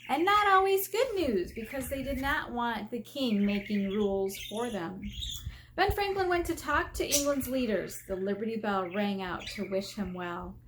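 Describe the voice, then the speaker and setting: A woman teacher reading aloud to children in an expressive way, asking questions and drawing out key words for emphasis. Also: a little high, moderate pace. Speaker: elementary school teacher. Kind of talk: read-aloud lesson for children. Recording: faint background noise.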